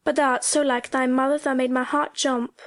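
Storybook country mother played by a woman: high, slow, affectionate Yorkshire voice, close and dry. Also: flat delivery, no room sound, natural voice. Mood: warm, reassuring. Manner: tremulous, tender. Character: tender, affectionate country mother, kindly and sincere.

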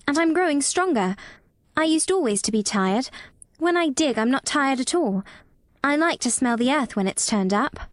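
A woman's voice: sits high, lively intonation, normal speed, no echo, studio quality, pitched above the performer's own voice. A woman voicing an earnest, eager and slightly anxious little girl in a storybook, with an intense, pleading manner. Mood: proud, eager. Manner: pleading, wide-eyed, intense.

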